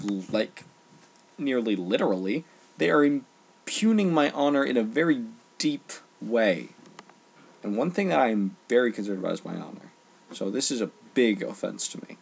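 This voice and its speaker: Husky, creaky young man ranting earnestly. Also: medium pitch. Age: teens to young adult. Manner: earnest, exasperated, emphatic with halting pauses. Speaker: online ranter. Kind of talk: unscripted opinion rant.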